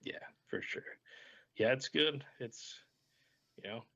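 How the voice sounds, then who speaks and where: A man's voice: mid-range voice, takes it slowly, gravelly, fairly bright tone. A man casually talking through a card game deck as a channel host, in an easygoing, colloquial way. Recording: dull, lo-fi voice track.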